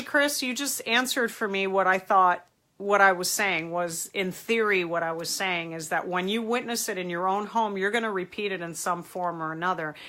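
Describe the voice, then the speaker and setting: A woman's voice: mid-range voice, sharp tone. A middle-aged woman with a cold and a stuffy voice, earnestly giving advice on a live stream and answering viewers' comments. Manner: earnest, emphatic, reads comments aloud. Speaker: host answering viewer questions.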